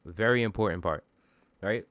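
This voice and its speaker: Low, bassy man, casual slangy tutorial, dry sound. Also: moderate pace, a bit bright, no echo. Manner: slangy, informal, swearing, self-deprecating. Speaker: music producer giving a vocal mixing tutorial.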